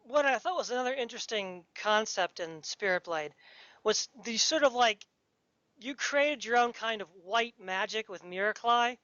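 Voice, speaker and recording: A woman's voice: on the high side, expressive, normal speed, fairly bright tone, no room sound. A woman hosting a casual interview, asking and commenting in tentative, meandering phrases. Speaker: podcast interviewer. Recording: dry but dull, lo-fi audio.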